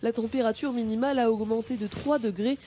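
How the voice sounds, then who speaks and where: A woman narrating a TV news report as scripted voice-over, with a measured, even delivery. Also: on the high side.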